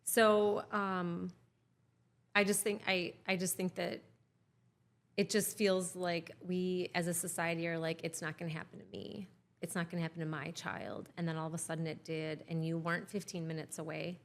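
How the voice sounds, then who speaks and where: A woman's voice: fairly low pitch, level tone, moderate pace, creaky. A woman hosting a podcast interview at a wine bar, encouraging her guest with frequent short affirmations. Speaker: podcast host. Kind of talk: casual interview.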